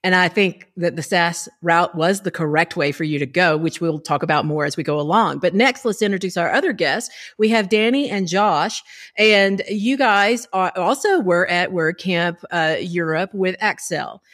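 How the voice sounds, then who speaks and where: A woman's voice: lowish voice, normal speed, a bit bright. A woman co-hosting a casual podcast, friendly and lightly teasing as she introduces guests. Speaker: podcast co-host.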